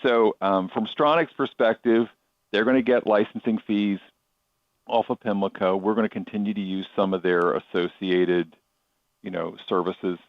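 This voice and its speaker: A man's voice: lowish voice, steady tone, moderate pace, no room sound, highs cut off. A man giving informal, explanatory answers as a guest in a radio interview. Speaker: chairman of a racetrack authority, interview guest.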